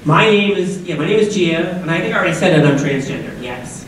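A woman in her forties with a deep voice, an upbeat and chatty speaker who keeps asking the students questions. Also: expressive, fast, a little hiss or hum. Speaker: guest speaker at a school assembly. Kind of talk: lively talk to students. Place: large school auditorium. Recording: echoey auditorium from far off.

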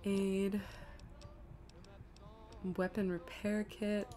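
A woman's voice: medium pitch, flat delivery, drawn-out words, dark tone. A woman giving casual live commentary as she streams a video game, muttering to herself in an exasperated, self-deprecating way.

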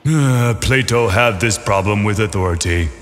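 A man's voice: on the low side, normal speed, close to an everyday voice. A man reading scripted narration for a comic. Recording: music behind the voice.

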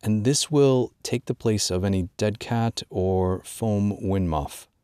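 A man presenting an informal instructional product walkthrough, drawing out key words in a measured way for emphasis. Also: lowish voice, mellow, clean tone, no room sound, studio quality. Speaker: gear tutorial presenter.

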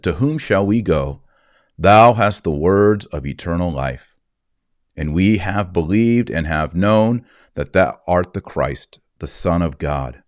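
Male, 40s, deep voice, dry close sound. Religious podcast host, didactic, measured stresses on key words. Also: a little mellow, dry recording. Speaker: podcast host reading and explaining scripture. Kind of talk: scripture reading and religious commentary.

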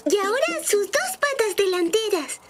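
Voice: very high-pitched, very animated, normal speed, over loud music. A performer voicing a tiny, eager ladybug sidekick in a children's cartoon, childlike, chirpy and dramatic. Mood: curious. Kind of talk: dubbed children's cartoon acting.

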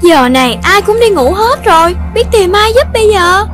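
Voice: very high-pitched, expressive, moderate pace. An actor voicing a polite, dreamy, playful little child in a children's cartoon. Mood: curious, worried. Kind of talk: children's animated cartoon voice acting. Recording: music under the voice.

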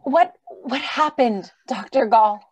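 Idealistic, worried lady, female actor, higher register, earnest theatrical radio acting. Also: animated, takes it slowly, breathy edge, exaggerated voice. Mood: concerned. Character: idealistic, anxious, gentle lady who loves humanity. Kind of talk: old-time radio drama acting. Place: remote video call.

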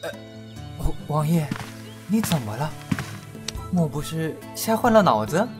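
Woman doing a teasing young lord in a period radio play, haughty n coy, kinda low lively voice. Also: measured pace, over music. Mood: puzzled. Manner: coquettish, teasing, mocking. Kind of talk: costume radio drama acting.

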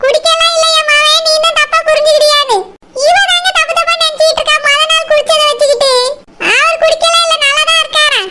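A woman's voice: very high-pitched, little pitch movement, heavy background noise. A woman voicing a stubborn, nagging middle-aged village mother in an amateur cartoon, with a Kanyakumari Tamil accent. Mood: defensive, angry. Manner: nagging, accusatory, rapid complaints.

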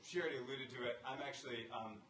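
A man in his thirties talks through panel remarks, halting and full of fillers. His voice sounds roomy and a bit distant, with a faint hiss underneath. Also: mid-range voice, fairly even intonation, fairly bright tone. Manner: halting, many fillers and restarts, gestures while talking. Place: college conference room. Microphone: table microphone. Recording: echoey room, picked up from a distance.

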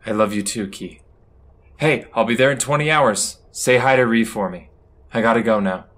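An actor playing an affectionate, easygoing space traveller in a conversational audio drama style. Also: male voice, medium pitch, moderate pace, a bit bright, lightly acted.

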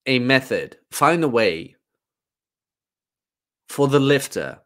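A man in his thirties giving a casual, informal livestream monologue as a fitness coach, rambling and emphatic. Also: mid-range voice. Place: small room at home, indoors.

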